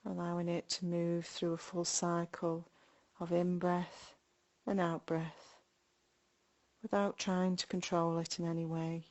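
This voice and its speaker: A woman guiding a meditation in a gentle, unhurried manner, speaking in short phrases with long pauses between them. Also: on the low side, little pitch movement. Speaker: meditation guide. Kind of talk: guided body scan meditation.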